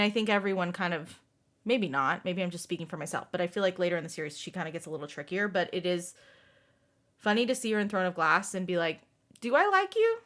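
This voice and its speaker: A woman hosting a casual book discussion, chatting in an animated way and voicing her own thoughts aloud. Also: medium pitch. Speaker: podcast host.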